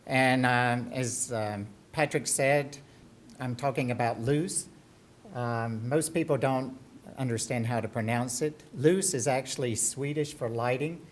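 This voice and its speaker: Middle-aged man, Southern US accent, presenting his startup from the podium, mid-pitched, loose and chatty with ums. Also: measured pace, a little hiss or hum. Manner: conversational, frequent ums and restarts. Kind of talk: business presentation. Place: conference stage at a podium.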